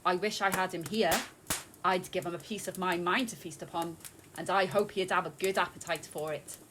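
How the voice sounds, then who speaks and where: A woman's voice: fairly low pitch, fairly even intonation, background noise, lightly acted. A woman playing a busy, spirited mother who runs the holiday meal and speaks tartly of a miser. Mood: indignant. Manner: brisk, spirited, tart. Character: busy, spirited mother, sharp-tongued but loving.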